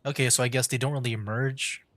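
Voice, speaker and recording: A man livestreaming a game with casual, informal commentary, thinking aloud in a halting way. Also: mid-range voice, fairly even intonation, fairly bright tone, no room sound. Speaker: streamer. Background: game music.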